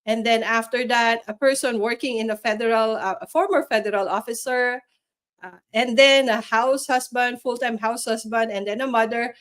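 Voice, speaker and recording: Woman hosting a live stream, upbeat, kinda salesy, voice a little high. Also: fairly even intonation, moderate pace. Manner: upbeat, persuasive, with frequent fillers. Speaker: host. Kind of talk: livestream interview.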